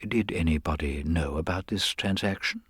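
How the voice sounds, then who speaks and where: A man voicing a mild-mannered, gently reasonable government detective in a story, speaking with hesitant, polite understatement. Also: low voice, normal speed, slightly rough, no echo, studio quality. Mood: mild, measured. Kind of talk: dramatised audiobook reading.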